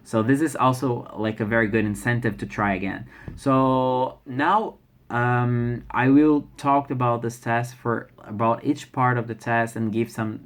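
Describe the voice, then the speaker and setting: A man's voice: medium pitch, fairly even intonation, measured pace. A young man from Brazil with a Brazilian Portuguese accent, speaking English as a second language in a casual vlog, often restarting and repeating words. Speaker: language learner sharing exam experience. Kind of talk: casual vlog talk to camera.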